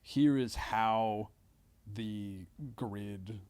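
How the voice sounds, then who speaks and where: A man chatting casually as a comedian guest on a podcast, talking in halting, hedging bursts with casual swearing and frequent check-ins with listeners. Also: on the low side, a little mellow, smooth.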